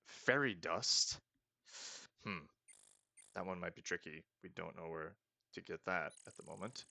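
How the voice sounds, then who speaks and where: A man hosting a casual let's play, reading game text aloud and thinking out loud as he goes. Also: low voice, measured pace, creaky, no room sound. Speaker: gaming host.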